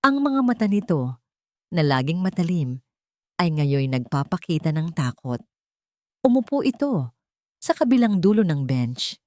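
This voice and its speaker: A woman's voice: lowish voice, sing-song, measured pace, pauses often, a little mellow, no echo, clean studio sound. A woman with a Filipino accent reading a dramatic scripted story as its narrator.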